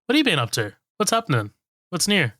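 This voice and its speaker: Man, podcast co-host, laid-back and sweary, bright clean tone, dry close mic. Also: a little high, huge pitch swings, quick pace, no room sound. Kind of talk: casual chat.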